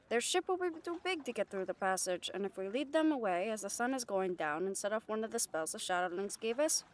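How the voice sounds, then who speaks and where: A woman's voice: mid-range voice, lightly acted. A woman playing a fierce, loyal catgirl pirate first mate in a roleplay audio drama, earnest and plain-spoken. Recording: voice over background music. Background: music.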